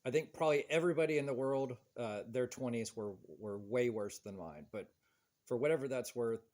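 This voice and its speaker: A man's voice: mid-range voice, a little fast, darkish tone. A man in his forties hosting a podcast, chatting casually and telling stories in a rambling way. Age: 40s. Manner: rambling, storytelling with quoted voices. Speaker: podcast host. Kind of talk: casual chat.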